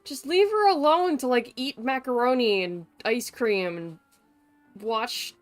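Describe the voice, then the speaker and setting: A woman's voice: fairly high pitch, a little slow, soft background music. A woman livestreaming a game with casual, blunt, sweary and dramatic commentary. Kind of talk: casual reactive gameplay commentary.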